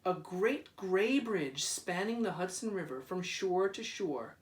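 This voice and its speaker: A man reading a children's storybook aloud in a deliberate, dramatic way, drawing out repeated words. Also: high voice, lively intonation. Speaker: school principal reading aloud. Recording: roomy echo, distant microphone.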